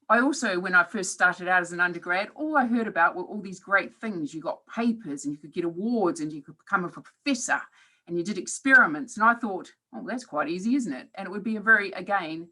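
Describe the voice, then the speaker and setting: Bright-voiced New Zealand woman lecturing. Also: on the low side, animated, fairly quick, poor audio. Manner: earnest, reflective, with hesitant fillers. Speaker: professor giving a webinar talk. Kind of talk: presentation talk.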